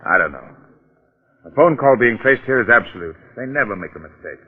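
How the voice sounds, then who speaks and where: An actor playing a determined, suspicious police detective in old-time radio drama, speaking in a clipped, commanding way. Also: male voice, medium pitch, expressive, brisk, husky, phone-quality sound. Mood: wary, intent.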